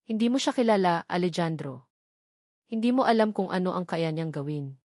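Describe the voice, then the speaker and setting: A woman narrator plays a frightened mother in an audio drama, bitter and desperate to shield her children. Her delivery is urgent and fearful, often lifted a little in pitch, in a dry recording. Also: expressive, moderate pace, a little mellow, dry recording, lightly acted.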